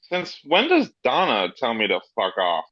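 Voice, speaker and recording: A crude, swaggering, foul-mouthed guy, read aloud by a man at a table read, his pitch swinging lively up and down. The recording sounds a little dull and lo-fi, and the room is dry. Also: a little slow, dry recording. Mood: mocking. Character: brash foul-mouthed grown man, loyal friend. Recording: slightly dull lo-fi.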